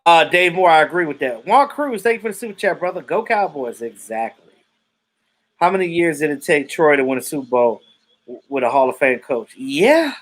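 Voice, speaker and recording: A man in his thirties hosts a live sports stream and talks to his viewers in a loose, unscripted way. He is blunt and outspoken and swears freely, and his voice sits a little high for a man. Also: very animated, normal speed. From Jamaican family roots. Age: 30s. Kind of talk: casual sports chat reading viewer comments.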